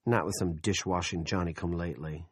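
A menacing, jovial middle-aged town boss, voiced by a man. He has a very deep voice and a folksy, quietly threatening drawl. The recording is dry and close. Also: fairly even intonation, quick pace, slightly rough, no echo.

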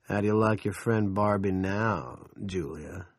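A male narrator voicing a smug, menacing town boss who talks slowly and gloats. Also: low voice, fairly even intonation, mellow, no room sound, studio quality. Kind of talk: audiobook character reading.

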